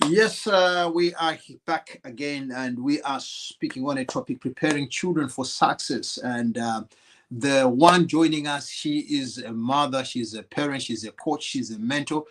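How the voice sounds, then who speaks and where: A man's voice: mid-range voice, measured pace, a bit bright. A man hosting a live online talk show over a video call, greeting viewers and his guest in a friendly, easygoing way. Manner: friendly, many fillers and restarts, laughs. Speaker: show host.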